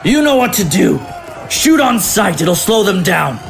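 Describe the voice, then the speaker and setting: A man playing a gruff, commanding military captain barking orders in an audio drama. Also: high-pitched, big pitch swings, unhurried, soft background music, some chatter behind, exaggerated voice. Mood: stern, commanding.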